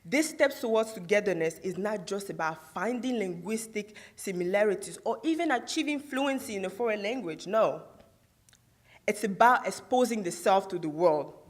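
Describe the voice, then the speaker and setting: A woman's voice: mid-range voice, expressive, moderate pace. A young woman from Côte d'Ivoire whose first language is Guro, giving a prepared competition speech on a theatre stage and putting questions to the audience. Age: young adult. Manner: rhetorical, asks the audience questions. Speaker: student orator.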